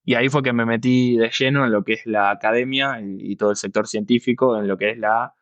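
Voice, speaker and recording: A man's voice: mid-range voice, fairly even intonation, a little fast, fairly bright tone, no room sound, lo-fi sound. A man in his thirties with a Buenos Aires accent, telling his story conversationally as an interview guest. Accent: Buenos Aires Argentine. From Buenos Aires, Argentina. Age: 30s. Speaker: guest expert, yeast scientist.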